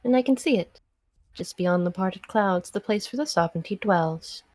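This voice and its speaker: A woman's voice: medium pitch, moderate pace, darkish tone, no room sound, lightly acted. A woman voicing an arrogant, sadistic would-be goddess in a visual novel, haughty and theatrical as she savors her words. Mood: triumphant. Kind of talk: dramatic visual novel monologue.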